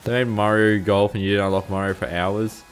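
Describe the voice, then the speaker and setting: A man's voice: fairly low pitch, flat delivery, a little fast, faint music behind. Chatty young Australian man streaming. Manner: rambling, chuckles often, lots of fillers. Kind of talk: casual chat with livestream viewers.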